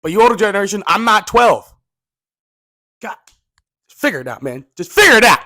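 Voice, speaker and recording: A man in his twenties rants casually to camera, rambling and filling his talk with "like," and he gets loud and exasperated when riled up. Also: high-pitched, very animated, brisk. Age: 20s. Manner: rambling, filler-heavy, says "like" constantly. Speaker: youth coach and vlogger.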